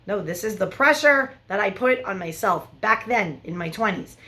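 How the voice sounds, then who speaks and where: A woman in her forties, a love coach giving frank, animated big-sister advice straight to camera. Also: mid-range voice, normal speed. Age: 40s. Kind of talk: direct-to-camera advice vlog.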